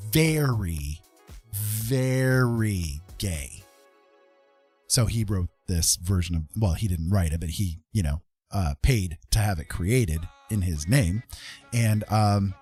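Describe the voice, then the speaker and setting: A man with a fairly low voice talks casually as a livestream host. He explains things step by step, pausing between short phrases. Music plays faintly behind him. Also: a little mellow.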